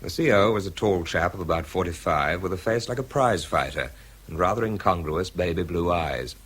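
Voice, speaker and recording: An actor playing a wry, anxious wartime fuse expert, narrating and speaking in an understated, hesitant way in a radio drama. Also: male voice, low voice, expressive, moderate pace, a bit bright, slightly rough, heavily acted. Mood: reflective, subdued. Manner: understated, hesitant when challenged.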